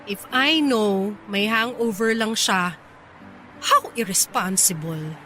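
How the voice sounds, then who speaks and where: An actress playing a proud, easily irritated but bashful young office boss in a Filipino radio drama, with a Filipino accent. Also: female voice, medium pitch, slow pace, sharp tone, faint music behind, low-quality recording. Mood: scolding, fretful. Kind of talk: radio drama acting in Taglish.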